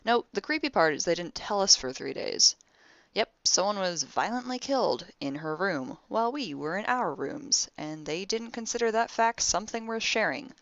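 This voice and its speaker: A woman playing a wry, deadpan, exasperated young spaceship crew member recording a personal audio diary in a sci-fi audio drama. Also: medium pitch, moderate pace, no room sound, highs cut off. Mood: exasperated, sarcastic.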